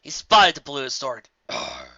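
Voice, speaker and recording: A man's voice: medium pitch, normal speed, pushed and bright, dry recording, lo-fi sound. A man narrating a fantasy story dramatically, as a reader of fan fiction.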